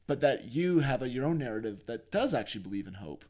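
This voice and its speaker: A man co-hosting a casual podcast discussion, earnest, thinking aloud in halting phrases. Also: medium pitch, very animated, dark tone. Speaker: podcast co-host.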